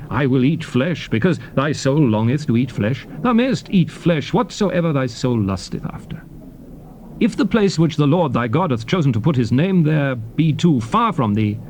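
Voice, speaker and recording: A man gives a dramatic, formal reading of scripture. His mid-range voice moves widely in pitch, with solemn, measured phrases and firm emphasis. A faint layer of noise from an old cassette recording sits behind him. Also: a little mellow. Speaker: narrator of a dramatized scripture audiobook.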